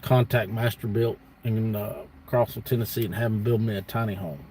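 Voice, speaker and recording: A man's voice: on the low side, fairly even intonation, fairly quick, darkish tone. Blunt man in his fifties, noisy background. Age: 50s. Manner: blunt, folksy, emphatic repetition. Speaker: livestream host. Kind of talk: casual rambling monologue to viewers.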